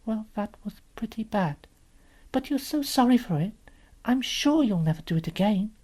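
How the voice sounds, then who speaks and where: A woman's voice: sits high, sing-song, normal speed, a little mellow, voice pushed up. A woman voicing an earnest, kind-hearted, anxious little girl in a storybook, pleading and exclaiming.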